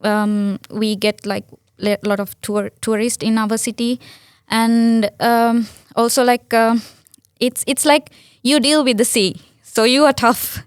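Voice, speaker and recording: A young Sri Lankan woman with a Sri Lankan accent and a fairly high voice answers interview questions in English, her second language after Sinhala. She talks earnestly, doubling back on her words and leaning on "like" as she goes. The sound is dry and close. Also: fairly even intonation, slow, smooth, dry recording. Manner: earnest, repeats words and restarts, many "like" fillers. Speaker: guest.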